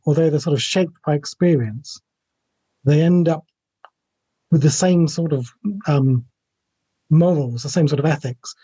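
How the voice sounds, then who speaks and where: A middle-aged man, a writer, talking reflectively and haltingly in an interview over a video call. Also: on the high side, mellow, breathy edge, no room sound. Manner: halting, thinking aloud. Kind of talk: casual, reflective interview talk. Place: small home room with shelves, video call. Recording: dull webcam call audio.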